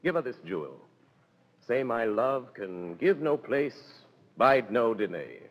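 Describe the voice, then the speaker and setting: A man's voice: mid-range voice, big pitch swings, slow. A man playing a lovesick, commanding duke, with grand verse delivery in 1930s radio Shakespeare style. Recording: dull, echoing 1930s radio recording.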